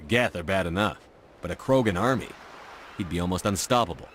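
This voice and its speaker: Calm space commander. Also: male voice, low voice, a little fast, a little hiss or hum. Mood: commanding. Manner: calm, decisive orders.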